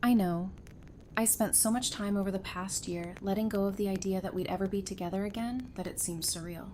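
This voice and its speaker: A woman's voice: brisk, darkish tone, lightly acted. A woman playing a supportive, frank, loyal friend in an audio drama, with a reassuring and candid delivery. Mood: happy. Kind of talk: fan audio drama dialogue. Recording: background noise with low rumble.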